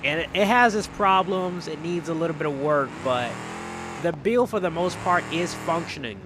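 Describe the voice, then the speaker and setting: A man's voice: fairly high pitch, normal speed, background noise. A man hosting a gaming video with casual, rambling commentary, often repeating himself for emphasis. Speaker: video game vlogger, host.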